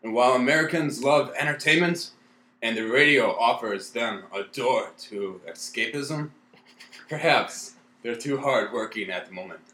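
An actor playing a worried, deferential radio producer, earnest and apologetic, in a 1930s-style radio play. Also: male voice, medium pitch, normal speed, sharp tone, echoey room, natural voice, low-quality recording.

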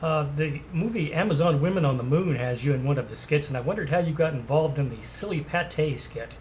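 A man's voice: a little high, fairly quick, a little mellow, slight background noise. A man interviewing a guest over a video call and asking easygoing questions.

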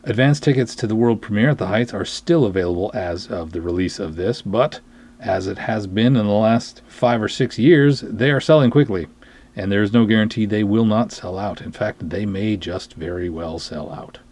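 An American man hosting a podcast, promoting to his listeners in an emphatic, deliberate, tongue-in-cheek way. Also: lowish voice, a little mellow. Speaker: podcast host, film writer-director. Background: music.

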